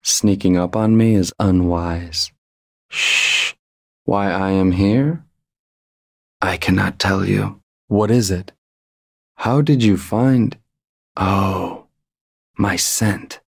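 A quiet game assassin with a lowish, creaky voice. He is guarded and cool, giving away little in slow, terse phrases. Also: dry recording, studio quality, full character voice. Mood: wary, secretive. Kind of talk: game character voice acting.

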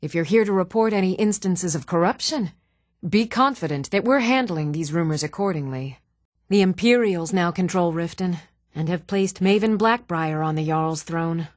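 A woman's voice: on the low side, expressive, moderate pace, no echo, clean studio sound, lightly acted. An actress playing a composed, polite woman of standing in a fantasy video game. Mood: composed, formal. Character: composed, polite fantasy townswoman, steward or priestess. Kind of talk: fantasy game character dialogue.

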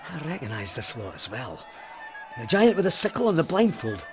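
An actor with a Scottish accent playing a grim, haunted, commanding police sergeant in a formal, clipped manner in an audio drama. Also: male voice, medium pitch, huge pitch swings, normal speed, faint music behind. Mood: wary. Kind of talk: full-cast audio drama acting.

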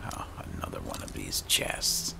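A man's voice: moderate pace, bright, forceful tone, whispered. A man doing casual let's-play commentary, muttering as he thinks aloud. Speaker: gaming channel host.

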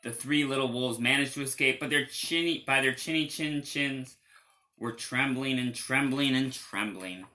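A man in his thirties reading a children's story aloud expressively, with dramatic, drawn-out emphasis. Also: medium pitch, pushed and bright. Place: indoors against a panelled wall.

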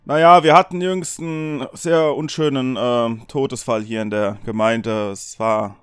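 A man voicing an older town gate guard in a fantasy tabletop game, affable but evasive, with a drawn-out, folksy way of talking. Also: mid-range voice, fairly even intonation, fairly bright tone, smooth, dry recording, studio quality, lightly acted. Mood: reluctant, somber. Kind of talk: tabletop role-play in German.

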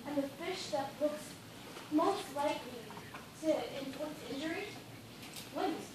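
A high-pitched young actress playing an imperious woman boss in a stage comedy, chatty yet commanding. She declaims from far off in a very echoey theatre, with loud noise in the recording. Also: unhurried. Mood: commanding, emphatic. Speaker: stage actor. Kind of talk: live stage comedy acting. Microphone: far camera. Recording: distant, very echoey, noisy auditorium recording.

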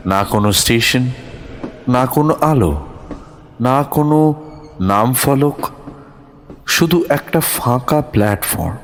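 A man narrating a horror thriller audio story in a dramatic, suspenseful way with deliberate pauses. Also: mid-range voice, expressive, a little hiss or hum.

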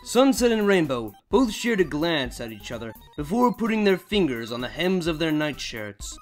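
A man's voice: on the high side, big pitch swings, normal speed, faint music behind. A man narrating fan fiction as a story reader and channel host.

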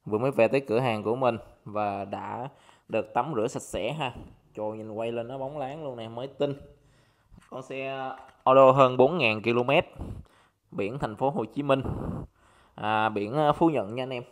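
A man's voice: medium pitch, normal speed. A man with a southern Vietnamese accent giving an informal sales walkaround as a motorcycle dealer, addressing viewers like buddies. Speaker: motorcycle dealer presenting bikes for sale. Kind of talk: casual sales walkaround narration.

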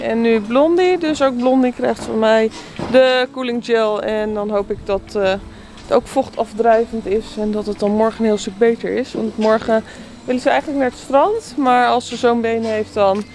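A woman's voice: on the high side, a little hiss or hum. A middle-aged woman explaining things matter-of-factly to a close-held phone. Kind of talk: casual vlog chat. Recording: loud music behind.

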